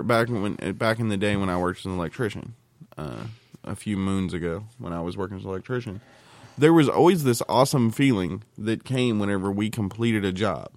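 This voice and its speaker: A man's voice: on the low side, dry recording. A man chatting casually as a podcast co-host, with a rambling, folksy and teasing way of talking. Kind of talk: casual chat.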